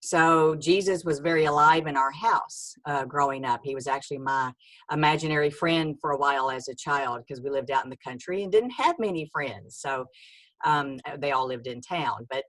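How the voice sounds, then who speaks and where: A woman's voice: low voice, moderate pace, a bit bright, dry recording, rough recording. A woman in her sixties with a Texan accent, a guest author telling her life story earnestly, with many fillers and restarts. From Texas, USA. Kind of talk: casual personal storytelling.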